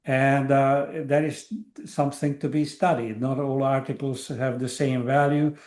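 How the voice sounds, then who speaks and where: An older man from Hungary speaks at a middle pitch, lecturing over a video call. He hesitates with frequent fillers and restarts as he works through his points. The sound is roomy, with a clear echo from the space around him. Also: flat delivery, measured pace, a little mellow. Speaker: guest lecturer. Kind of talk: academic online lecture.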